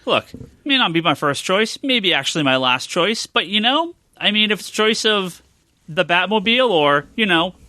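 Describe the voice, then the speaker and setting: A man's voice: high voice, expressive, moderate pace, sharp tone, no echo. A man hosting a casual podcast, bantering emphatically and repeating phrases for effect. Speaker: podcast host reading listener questions. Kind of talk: casual, bantering panel chat.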